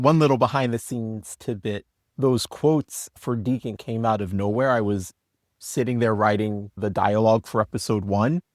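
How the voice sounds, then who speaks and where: A man's voice: on the low side, dry recording, studio quality. A man being interviewed as a writer, talking in a conversational, anecdotal way. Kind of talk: interview talk.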